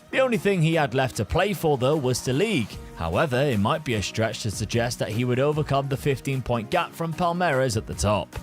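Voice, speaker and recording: Guy hosting a football manager type video, informal and chatty, music playing behind him. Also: mid-range voice. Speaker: gaming video host. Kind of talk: scripted narration and casual chat over a football management game.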